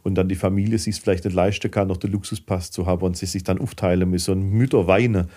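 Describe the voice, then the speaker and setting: Man, middle-aged, low voice, casual podcast guest, candid, colloquial, explains at length. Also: steady tone, fast, dark tone. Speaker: guest, filmmaker and managing director. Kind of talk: casual podcast interview chat.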